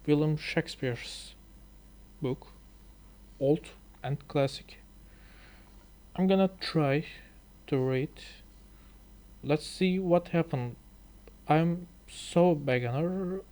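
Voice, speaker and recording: Very slow, halting Turkish-accented man. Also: fairly high pitch, a little mellow. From Turkey. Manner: hesitant, many pauses and fillers, apologetic. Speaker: YouTuber learning English. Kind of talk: halting casual reading vlog.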